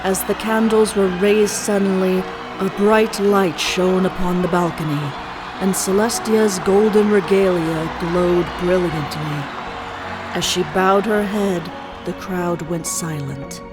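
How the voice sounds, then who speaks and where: A woman's voice: fairly low pitch, fairly even intonation, slow, low-quality recording. A woman reading scripted story narration as the narrator of a fan fiction. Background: music.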